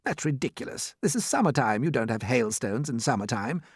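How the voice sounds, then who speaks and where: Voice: medium pitch, big pitch swings, a little fast, slightly rough, dry recording, clean studio sound. A performer voicing a brash, mocking male centipede, a scornful troublemaker in a children's storybook. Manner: jeering, boastful, scoffing.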